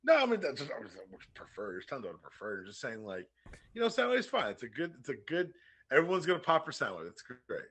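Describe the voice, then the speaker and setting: A man's voice: medium pitch, wildly swooping pitch, quick pace, fairly bright tone, husky. A man from the southern United States co-hosting a podcast, bantering casually and wryly and repeating himself for emphasis. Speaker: podcast co-host.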